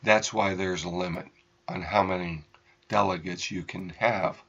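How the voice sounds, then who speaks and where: An American man giving unscripted political commentary as a radio host, in halting, deliberate phrases with emphasized words. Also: sits low, steady tone, a bit bright, slightly rough, muffled recording.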